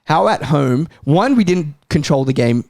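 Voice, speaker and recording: A man's voice: fairly high pitch, expressive, measured pace, gravelly, darkish tone, dry recording. Man in casual, emphatic football chat, dry sound. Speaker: football podcast panellist and fan.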